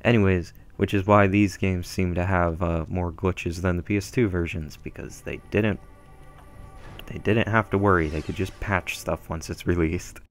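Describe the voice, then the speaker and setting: Deep-voiced rambling young gamer. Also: male voice, steady tone, normal speed, faint music behind. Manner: rambling, filler-heavy, self-deprecating. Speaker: gameplay commentator. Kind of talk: casual gameplay commentary. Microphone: gaming headset mic.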